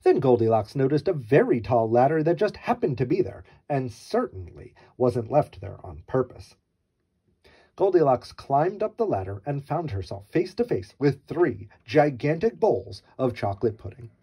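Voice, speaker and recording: A man's voice: medium pitch, sing-song, normal speed, breathy edge, muffled recording. A man reading a children's story aloud with wry, theatrical mock innocence.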